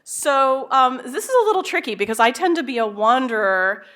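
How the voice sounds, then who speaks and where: A loud-voiced woman in her fifties gives a conference talk from a podium. She speaks in a lively, conversational and self-aware way, asides and all. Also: high voice, moderate pace. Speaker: keynote speaker, professor. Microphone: podium microphone.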